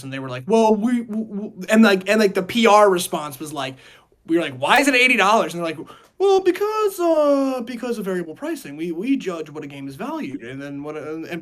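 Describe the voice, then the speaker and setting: High-pitched man, rambling podcast host. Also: fairly bright tone. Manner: rambling, halting, repeats words and phrases. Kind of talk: casual chat.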